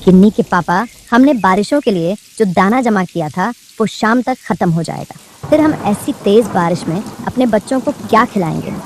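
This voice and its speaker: Woman as a mid-pitched cartoon mother bird. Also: animated, moderate pace, darkish tone, a little hiss or hum, natural voice. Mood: worried. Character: female bird parent in a forest village. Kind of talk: children's cartoon dialogue.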